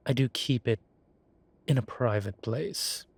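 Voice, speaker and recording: A man playing a composed, teasing demon professor in a roleplay audio, speaking in a formal, measured and wry way. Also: mid-range voice, slightly rough. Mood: coy. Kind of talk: scripted roleplay audio, one side of a conversation.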